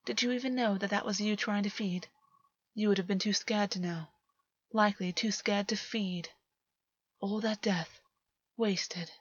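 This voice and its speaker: Woman voicing a softly menacing male fae predator. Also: mid-range voice, fairly even intonation, husky, dry recording, dull audio. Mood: contemptuous. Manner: menacing, deliberate, softly taunting. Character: cruel, predatory male fae taunting his captive.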